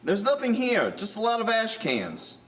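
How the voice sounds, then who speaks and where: Guy doing a dismissive elevator kid, high swoopy voice, informal, radio drama. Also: huge pitch swings, moderate pace, fairly bright tone, full character voice, poor audio. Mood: reluctant, dismissive. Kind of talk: live radio-style mystery drama acting.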